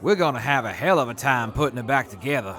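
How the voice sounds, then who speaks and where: A man's voice: medium pitch, expressive, fast talker, fairly bright tone, natural voice. A man voicing an earnest, dutiful former army major who is polite and gets flustered when cornered, in an audio drama.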